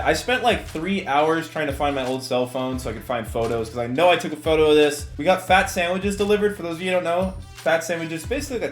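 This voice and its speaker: A young man with a Californian American accent telling stories to viewers in a casual, animated, slangy and self-mocking way. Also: on the high side. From California, United States. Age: young adult. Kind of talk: casual storytime chat to viewers. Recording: echoey room with music bed. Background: background music with guitar.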